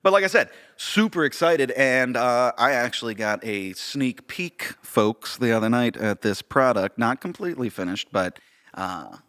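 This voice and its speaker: A man's voice: mid-range voice, big pitch swings, a little slow, fairly bright tone, clear, resonant tone. An American man co-hosting a podcast as a production director, chatting casually in an informal, earnest way. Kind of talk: casual chat.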